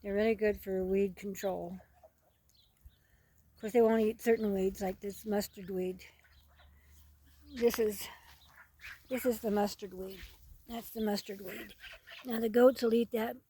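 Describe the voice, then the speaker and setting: A woman's voice: medium pitch, fairly even intonation, measured pace, long pauses, mellow, slightly rough. A woman rambling casually in a folksy, repetitive way as she narrates a farm video outdoors. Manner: folksy, rambling, repeats herself. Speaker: farm vlogger. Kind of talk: casual unscripted narration while filming animals. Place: outdoors on a farm, among poultry.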